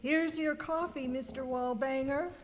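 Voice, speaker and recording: A woman's voice: sits high, fairly even intonation, a little slow. A woman playing a prim, demure saleswoman with a secret superhero identity in an amateur radio skit. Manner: prim, formal. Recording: echoey hall with noise and clicks.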